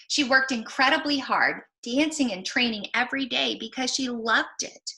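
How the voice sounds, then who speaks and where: A woman giving a book talk for children in an emphatic, encouraging manner with deliberate phrasing. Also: fairly high pitch, pushed and bright. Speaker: presenter of children's books.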